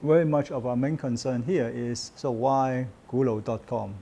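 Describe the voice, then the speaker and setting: Male host, mellow mid voice, polite and a little halting in his questions. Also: medium pitch, steady tone, gravelly, a little hiss or hum. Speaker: interviewer and host. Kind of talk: scripted narration and interview questions.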